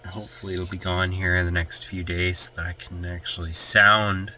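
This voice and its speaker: Man, deep voice, bright sharp tone, stuffy nose, rambling casual vlog. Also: level tone, fairly quick. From Canada. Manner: chatty, rambling, easily sidetracked. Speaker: van life vlogger. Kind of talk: casual vlog chat to camera.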